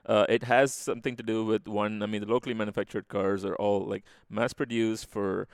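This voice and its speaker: A man with an Indian accent talks casually as an interview guest. His voice sits low with a fry to it, and the sound is close and dry. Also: level tone, brisk, dry recording. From Chennai, India. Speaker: podcast guest.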